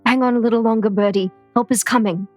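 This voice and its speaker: A woman's voice: a little high, fairly even intonation, quick pace, darkish tone, faint music behind, close to an everyday voice. An actress playing a tense, hard-edged woman doctor and fighter in an audio drama. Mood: tender distress.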